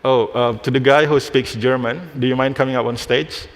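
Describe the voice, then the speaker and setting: A man's voice: mid-range voice, steady tone. A man from Indonesia giving a live technical presentation on a conference stage, restarting phrases as he works through a demo. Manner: halting, restarts phrases while demoing. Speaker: conference speaker. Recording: hall reverb.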